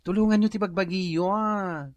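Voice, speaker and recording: A man's voice: moderate pace, no room sound, dull audio, close to an everyday voice. A man playing a nagging friend who lectures others about work and money in a radio comedy drama. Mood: lecturing. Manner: scolding, lecturing, lively pitch.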